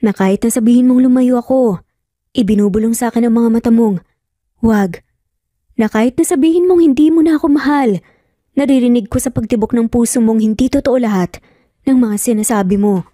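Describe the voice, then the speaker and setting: A woman's voice: on the high side, a little fast, pauses often, warm tone, dry recording, clean studio sound, close to an everyday voice. A Filipino woman voicing an earnest, pleading man in love in a romance drama. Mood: pleading, intense. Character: persistent lovesick man, father, earnest and intense.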